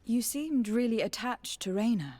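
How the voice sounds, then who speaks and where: An actress playing a composed, caring young noblewoman in a video game, speaking in a measured, formal, gentle way. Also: fairly high pitch, expressive. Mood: gentle, curious. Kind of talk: video game dialogue acting.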